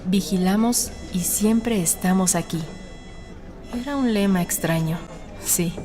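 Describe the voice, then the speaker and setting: A woman reading a novel as an audiobook narrator, in a measured, suspenseful storytelling manner. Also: mid-range voice, mellow. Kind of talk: scripted dramatic narration of a novel. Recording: studio narration over a music bed. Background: background music.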